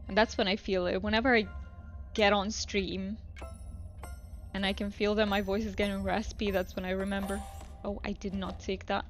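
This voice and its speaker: A woman live streamer chatting casually while playing a video game, relaxed and rambling as she thinks aloud. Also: mid-range voice, moderate pace. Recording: music playing behind the voice. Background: music.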